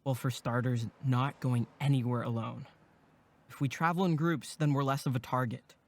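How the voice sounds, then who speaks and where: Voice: medium pitch, fairly even intonation, normal speed, close to an everyday voice. A performer acting as a protective, practical young male camp counselor in an audio drama. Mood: reassuring, resolute.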